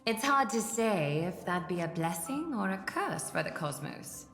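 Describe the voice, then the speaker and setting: An actress voicing a composed, sociable woman leader in a video game, measured and gently teasing. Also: on the low side, sing-song, exaggerated voice. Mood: composed, amused. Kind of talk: game character dialogue. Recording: echoey long reverb, music behind.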